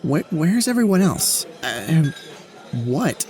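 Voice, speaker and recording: A man's voice: a little high, sing-song, slow, darkish tone, soft background music. An actor playing an anxious male scientist on the run, paranoid and rambling, stammering when flustered. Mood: nervous. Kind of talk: amateur audio drama acting.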